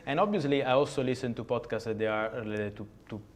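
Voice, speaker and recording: A man's voice: mid-range voice, quick pace. A man with an Italian accent, speaking English as a guest in an interview, hesitant with many fillers and restarts. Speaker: podcast guest. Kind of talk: conversational interview.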